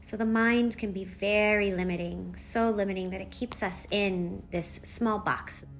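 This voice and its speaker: Woman, meditation guide, unhurried slow delivery, soft short phrases, long pauses, faint music behind. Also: mid-range voice, steady tone. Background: background music.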